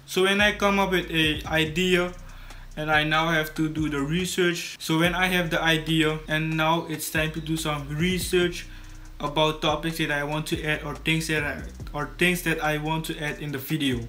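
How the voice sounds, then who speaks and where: Teenage male vlogger from Suriname, native Dutch speaker; monotone delivery, casual tutorial read. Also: on the high side, normal speed, slight background noise. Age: teens. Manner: casual, repeats and restarts words. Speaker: YouTube creator. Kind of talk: scripted tutorial vlog to camera. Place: small bedroom studio indoors.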